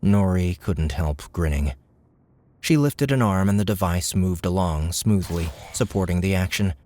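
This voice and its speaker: A man's voice: low voice, measured pace, darkish tone, dry recording. A man narrating scripted fiction as an audiobook narrator.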